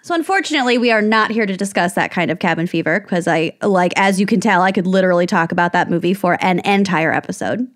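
A woman's voice: lowish voice, fast talker, hardly any pauses. A woman co-hosting a podcast in casual chat, rambling and giggling as she reads from her notes. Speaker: podcast co-host.

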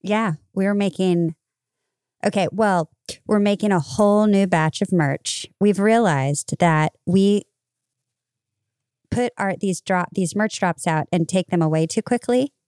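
Female co-host, mellow and clean tone, very dry recording, casual but emphatic conversational style. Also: medium pitch, a little slow, clean tone, no echo. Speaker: podcast host. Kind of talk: casual chat.

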